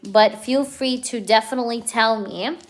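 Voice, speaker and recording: A woman doing a live tarot reading for a viewer. She talks in a chatty, energetic way, full of "you know" and "okay", and keeps checking whether things make sense to the viewer. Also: fairly high pitch, moderate pace, a little hiss or hum. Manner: addresses the viewer directly, asks if things resonate. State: hyper, energetic. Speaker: tarot reader.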